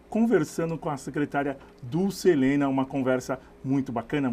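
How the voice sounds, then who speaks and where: A man hosting a studio TV interview, measured and prompting his guest. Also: mid-range voice, darkish tone, a little hiss or hum. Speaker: TV interview host.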